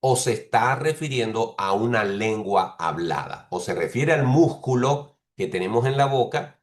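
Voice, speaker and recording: A man preaching a teaching sermon who explains slowly and restates each point several ways. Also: mid-range voice, lively intonation, echoey room. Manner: explains patiently, repeats and rephrases points; speaks bilingually of Spanish and English.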